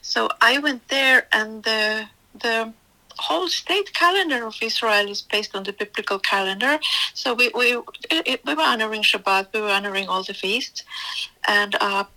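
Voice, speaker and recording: A middle-aged woman tells her story as an interview guest. Her voice sits a little high and sounds bright. She speaks in short, simple phrases with hesitant fillers. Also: normal speed, poor audio. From Finland. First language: Finnish. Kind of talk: testimony interview.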